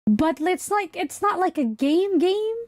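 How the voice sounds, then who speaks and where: Girl streamer w a high voice, slow rambly casual chat, really dry close sound. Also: animated, dry recording. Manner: hesitant, rambling, thinking aloud. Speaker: livestreamer and VTuber host.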